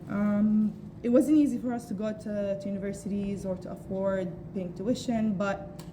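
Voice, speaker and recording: A woman's voice: mid-range voice, fairly even intonation, moderate pace. Young woman, from palestine, earnest rally speech, hesitant ums, noisy outdoor recording. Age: young adult. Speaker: student speaker at a rally. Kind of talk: unprepared public speech.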